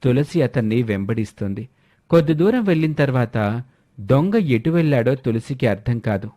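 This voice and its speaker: A man's voice: medium pitch, animated, normal speed, mellow. A man narrating a scripted moral story.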